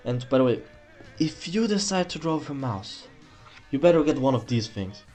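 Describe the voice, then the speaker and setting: A man's voice: mid-range voice, sing-song, normal speed, a little mellow, faint music behind. A young man with a German accent, a native German speaker, hosting a casual drawing tutorial at home on a webcam mic, rambling and correcting himself as he goes. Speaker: art tutorial host. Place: small room at home, indoors.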